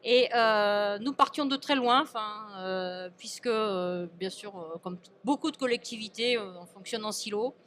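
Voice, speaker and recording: A woman speaking as an elected local official in an informal interview, halting and often repeating and restarting her words. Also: mid-range voice, fairly bright tone. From France.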